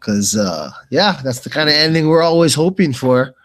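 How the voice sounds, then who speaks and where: A man's voice: on the high side, animated, moderate pace, fairly bright tone. A man co-hosting fight commentary, reacting casually in slangy talk.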